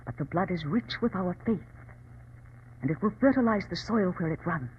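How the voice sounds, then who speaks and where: A woman's voice: on the low side, lively intonation, moderate pace, breathy edge. An actress playing a proud, defiant female revolutionary leader from China in formal, impassioned 1940s radio drama style. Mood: resolute, solemn. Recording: muffled, scratchy old radio recording.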